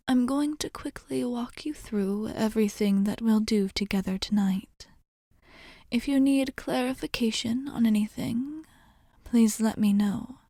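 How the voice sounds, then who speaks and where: A woman voicing a gentle, courteous vampire bartender in an ASMR roleplay, speaking in a soft, breathy, near-whispered voice with polite, formal reassurance. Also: on the high side, no echo, clean studio sound. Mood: soothing, courteous.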